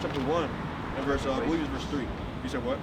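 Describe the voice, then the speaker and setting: A man's voice: mid-range voice, fairly even intonation, quick pace, a crowd in the background. A man preaching outdoors on a city street, emphatic and firing questions at his listener. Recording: distant mic with loud traffic noise.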